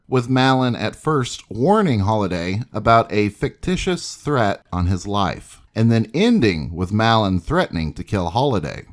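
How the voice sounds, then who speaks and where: A low-voiced man narrates history from a script. His intonation rises and falls widely, and he tells it in a measured, dramatic storyteller's way.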